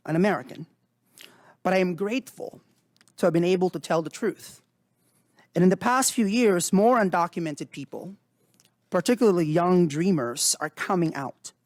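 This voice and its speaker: A man in his thirties from the Philippines, a native Tagalog speaker, giving earnest, measured prepared testimony to a committee. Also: high-pitched, dry recording, studio quality. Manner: formal, earnest, measured delivery. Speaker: witness before a committee. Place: hearing room.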